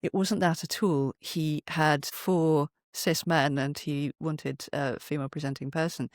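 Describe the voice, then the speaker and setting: A British speaker with an expressive manner, talking as an interview guest and often acting out imagined quotes. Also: mid-range voice, unhurried, dry recording, studio quality. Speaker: podcast guest, writer and poet. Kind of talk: animated interview conversation.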